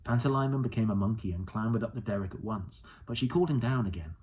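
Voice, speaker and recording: A man's voice: lowish voice, animated, fast talker, a little mellow. A man reading a storybook aloud as an audiobook narrator. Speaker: audiobook reader.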